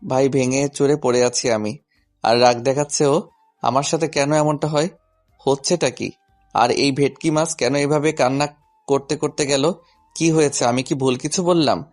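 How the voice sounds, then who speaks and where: Casting: male narrator and lead for a first-person romance audio story, mid-pitched voice, music bed. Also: fairly even intonation, normal speed, darkish tone.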